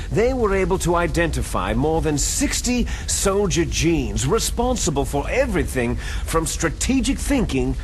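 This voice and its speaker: An actor playing a bitter, gloating villainous clone soldier in a video game, with a menacing, taunting, theatrical delivery. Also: male voice, fairly high pitch, slight background noise. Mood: grandiose, lecturing. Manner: menacing, taunting, grandiose monologuing. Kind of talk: dramatic video game cutscene acting.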